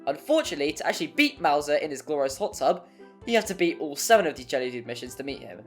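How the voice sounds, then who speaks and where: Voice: medium pitch, very animated, fast talker, fairly bright tone. A narrator reading a scripted video game review in a wry, mildly sweary, hyperbolic way. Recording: music playing behind. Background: background music.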